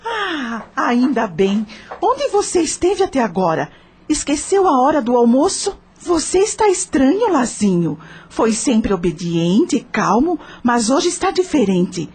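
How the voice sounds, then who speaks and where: A woman playing a tender, anxious mother in a radio play, with a husky voice. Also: high-pitched, big pitch swings, a little slow, darkish tone, full character voice. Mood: worried, scolding.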